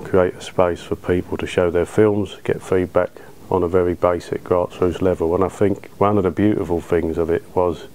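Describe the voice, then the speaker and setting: A British man in his thirties talking informally in an interview, in a low-key, colloquial way. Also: a little mellow, a little hiss or hum. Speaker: cinema owner being interviewed. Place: small dark cinema auditorium, indoors. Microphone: lapel.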